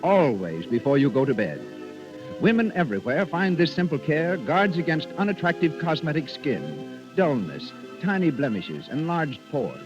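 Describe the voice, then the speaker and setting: A man's voice: a little high, sing-song, moderate pace. An American man announcing scripted 1930s radio advertising with polished salesmanship. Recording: muffled 1930s radio broadcast. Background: music.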